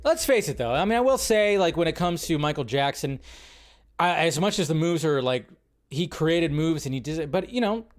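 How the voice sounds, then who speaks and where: A man hosting a casual live commentary show, excitable and chatty, with frequent fillers, restarts and mild swearing. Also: a little high, moderate pace. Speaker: show host.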